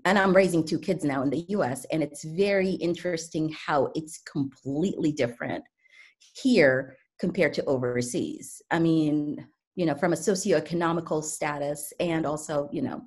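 A woman's voice: low-pitched, a little mellow, slightly rough. A woman in her thirties from Kuwait, a realtor answering interview questions in a thoughtful way, with some restarts. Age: 30s. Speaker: realtor guest.

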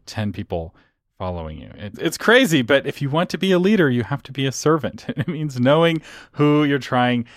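A male podcast host speaks at a middling pitch and an easy, measured pace, his phrases fading quietly as they end. The recording is dry and close-miked. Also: very animated, no echo. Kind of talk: interview questions, casual chat.

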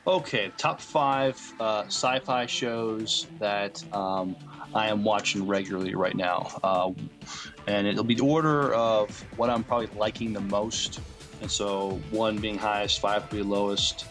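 A man with a fairly low voice co-hosts a casual podcast. His delivery is halting and hesitant, full of pauses, with music clearly audible behind him. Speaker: podcast co-host. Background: loud music bed.

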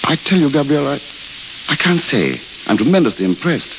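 Tired polite failed writer, wistful and self-deprecating, old radio play, hissy muffled recording. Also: male voice, on the high side, big pitch swings, fairly quick, a bit bright. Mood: wistful, gentle. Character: weary, self-mocking failed writer, a wandering intellectual. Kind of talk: 1930s-40s radio drama acting.

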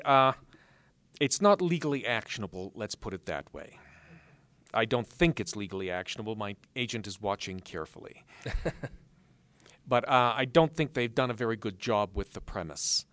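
A man answering interview questions as a guest author, explaining things in a measured way with dry humor. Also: medium pitch, fairly bright tone, dry recording. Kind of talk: live interview answers before an audience.

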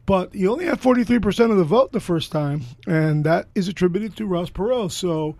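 An older man with a hoarse voice, co-hosting a casual political chat in a gregarious, bombastic, argumentative way. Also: high voice, lively intonation, brisk, darkish tone, no echo. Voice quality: hoarse, gravelly voice. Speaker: podcast co-host.

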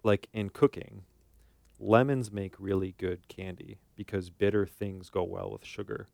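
A man's voice: lowish voice, steady tone, unhurried, gravelly, a little mellow, no room sound. A man co-hosting a podcast, chatting casually with a wry sense of humor. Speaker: podcast co-host. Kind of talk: casual chat between co-hosts.

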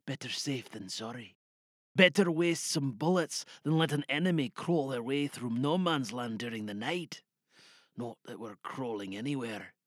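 A man playing a weary, bitter soldier in a trench, confiding in a hushed voice in an audio drama monologue. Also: a little high, husky, dry recording, clean studio sound, heavily acted. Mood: hushed, wary.

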